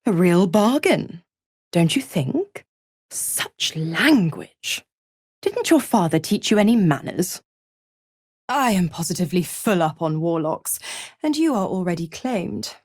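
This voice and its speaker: A woman playing a seductive, scheming devil in a video game, theatrical and condescending, with a silky, mocking delivery that slips toward breathy whispers. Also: lowish voice, sing-song, takes it slowly, a little mellow, no echo, studio quality, full character voice. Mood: taunting. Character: seductive, scheming female devil who trades in pacts. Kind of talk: video game character acting.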